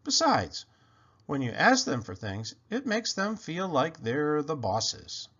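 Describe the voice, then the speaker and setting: A man reading a children's picture book aloud in an expressive, playful way with animated emphasis. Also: fairly high pitch, takes it slowly, husky. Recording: dull, lo-fi sound.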